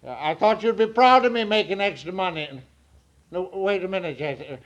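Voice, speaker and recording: High-voiced, flustered, folksy drifter. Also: male voice, expressive, normal speed, muffled recording. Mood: excited, flustered. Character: meek, bald, rambling drifter, excitable and simple-hearted. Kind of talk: 1940s radio drama acting. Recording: vintage 1940s radio broadcast.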